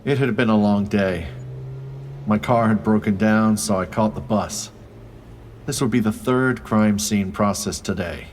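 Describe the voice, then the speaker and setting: An actor playing a weary, wry crime scene investigator, dryly narrating a noir audio drama. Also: male voice, lowish voice, a little hiss or hum, natural voice. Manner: world-weary, dry, sardonic.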